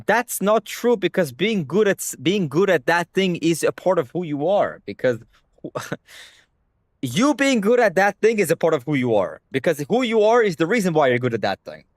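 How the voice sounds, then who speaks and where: A man's voice: high voice, very animated, moderate pace, dry recording, clean studio sound. A young man whose first language is Hebrew, talking bluntly to his stream chat and swearing freely. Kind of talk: casual livestream rant.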